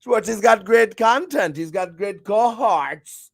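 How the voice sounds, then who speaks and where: A man's voice: high-pitched, lively intonation, takes it slowly, vocal fry, fairly bright tone. A man hosting a live stream, talking in a hammy, playful, exclamatory way. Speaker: streamer and show host. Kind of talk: casual live-stream chat.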